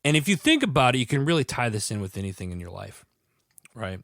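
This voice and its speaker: A man's voice: mid-range voice, sing-song, quick pace, fairly bright tone, no echo. A male podcast host with a stuffy head cold, talking in an earnest, rambling way and often restarting his sentences. His voice is very dry, with no room sound at all. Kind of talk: casual podcast chat.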